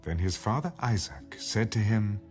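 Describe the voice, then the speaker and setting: A man narrates scripture in a storytelling style. His voice is low and lively in pitch, with measured phrasing. Music is clearly heard beneath him. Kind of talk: scripted audio Bible narration. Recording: background music under the voice.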